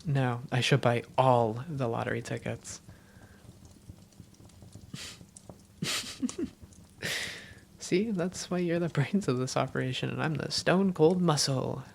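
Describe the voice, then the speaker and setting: Woman playing a low, creaky-voiced vampire girlfriend. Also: measured pace, slight background noise, heavily acted. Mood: fond, cocky.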